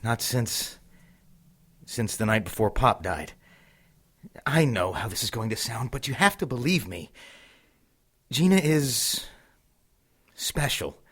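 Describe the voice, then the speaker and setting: An actor in an audio drama playing an eerie, menacing brother of a witch, speaking in a measured, ominous way. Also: mid-range voice, very animated, a bit bright, slightly rough, full character voice. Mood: haunted, resigned.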